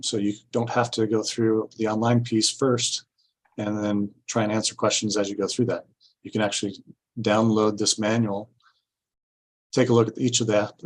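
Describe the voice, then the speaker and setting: Low, flat-toned man presenting. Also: breathy edge, low-quality recording. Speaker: city staff presenter at a grant orientation. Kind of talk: informational public meeting presentation.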